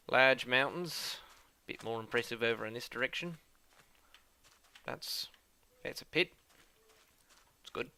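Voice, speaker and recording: A man's voice: mid-range voice, creaky, bright, forceful tone, heavily acted. A man putting on a jokey mock-Australian drawl. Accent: put-on Australian, based on a New Zealand acquaintance. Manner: playful, self-mocking, rambling. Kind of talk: let's-play commentary.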